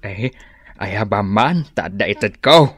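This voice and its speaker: A man's voice: lowish voice, lively intonation, unhurried, a bit bright, slightly rough, faint music behind, heavily acted. An actor with an Ilocano accent playing a sneaky, hen-pecked older village man who stammers when cornered, in a radio comedy drama. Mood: sheepish.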